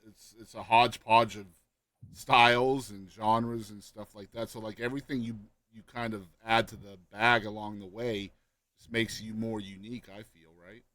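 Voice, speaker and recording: A man's voice: on the low side, flat delivery, moderate pace, a bit bright. A man hosting a podcast, asking loose, friendly questions with lots of fillers. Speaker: podcast host. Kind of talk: casual interview chat.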